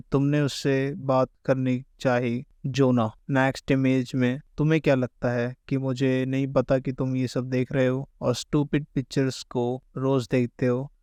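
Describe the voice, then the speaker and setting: A man's voice: mid-range voice, darkish tone, clear, resonant tone, no room sound. A man with an Indian accent narrating a scripted recap as a YouTube explainer, mixing two languages as he goes.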